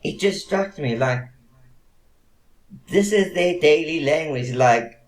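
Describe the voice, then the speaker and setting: A man with a South African accent hosting a casual interview, talking in halting bursts full of restarts and fillers. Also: a little high, expressive, echoey room. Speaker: host. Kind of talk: casual video-call interview.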